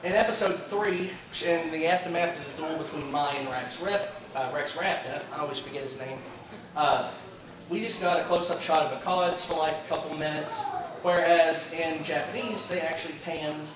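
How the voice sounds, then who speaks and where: A man giving an informal fan panel lecture at a convention, a bit halting and given to joking asides. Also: a little high, fairly even intonation. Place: indoor convention panel room. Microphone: distant camera mic. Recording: echoey convention room, distant muffled camera mic, crowd. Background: audience crowd noise.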